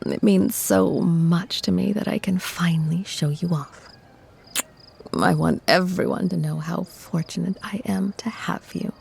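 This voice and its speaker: A woman playing a gentle, doting vampire who soothes her mortal lover, breathy and creaky, in an ASMR-style audio drama. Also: lowish voice, steady tone, slight background noise. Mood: loving, soothing. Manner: tender, soothing, measured. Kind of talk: ASMR audio roleplay acting.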